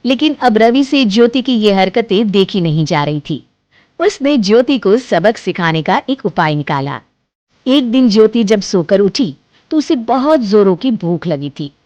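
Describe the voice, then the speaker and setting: A woman narrating an animated moral story in a lively, expressive way. Her voice is mid-pitched and swings widely in tone. The recording is dry and close. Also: unhurried, dry recording, highs cut off.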